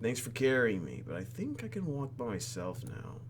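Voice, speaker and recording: A man's voice: medium pitch, huge pitch swings, moderate pace, a little hiss or hum, pitched above the performer's own voice. A man voicing an awkward, flustered young woman from a visual novel, hammy and stammering. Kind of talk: hammy dialogue reading in a game video.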